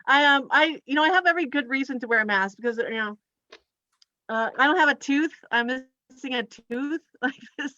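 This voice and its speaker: A woman doing a conversational stand-up comedy set in a halting, scattered, giggly way. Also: high voice, bright, forceful tone, dry recording, lo-fi sound. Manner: halting and scattered, giggles through own remarks.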